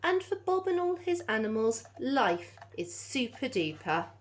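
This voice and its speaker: A woman's voice: fairly high pitch, very animated, unhurried. A woman reading a children's story aloud, like a teacher addressing young listeners.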